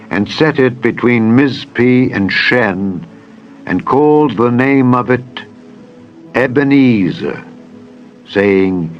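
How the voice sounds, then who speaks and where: A man narrating scripture in a dramatized style, with a solemn, measured delivery. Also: mid-range voice, dull audio. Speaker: Bible narrator. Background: music.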